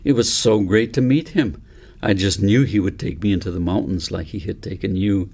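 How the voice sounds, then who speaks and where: A gravelly man reading as an earnest little girl. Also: sits low, moderate pace, darkish tone, exaggerated voice. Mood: eager. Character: earnest, precocious five-year-old girl recounting dreams. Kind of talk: storybook character reading.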